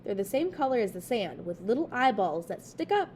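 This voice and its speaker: A woman's voice: mid-range voice, sing-song, a little fast, a little mellow, slight background noise. A woman voicing an eager, outgoing, folksy girl in a storybook read-aloud, with an emphatic way of talking. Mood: spooky, teasing.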